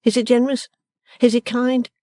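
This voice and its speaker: A woman's voice: a little high, little pitch movement, normal speed, dark tone, no room sound, studio quality, pitched above the performer's own voice, close to an everyday voice. A woman voicing a meek, self-pitying young man who complains in a mournful tone. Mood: dismal. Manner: plaintive, mournful.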